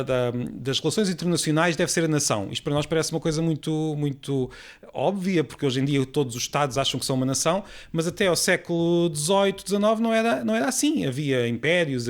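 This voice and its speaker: Fast-talking Portuguese man explaining. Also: a little high. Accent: European Portuguese. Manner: explanatory, many restarts and hesitations. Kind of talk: casual podcast discussion.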